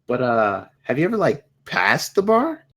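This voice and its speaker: A man voices a muddled, earnest slacker in a comedy table read. The voice is husky and middling in pitch, swinging wildly as he rambles. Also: huge pitch swings, normal speed, fairly bright tone, full character voice. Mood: casual.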